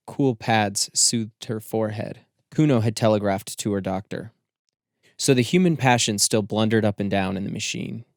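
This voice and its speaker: A man reading scripted literary narration as an audiobook narrator, with measured, deliberate phrasing. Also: lowish voice, no echo, clean studio sound.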